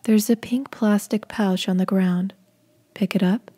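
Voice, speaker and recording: A detached horror game narrator read by a woman. The voice is dark, soft and breathy, with very little movement in pitch. The delivery is hushed and eerie. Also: steady tone, unhurried.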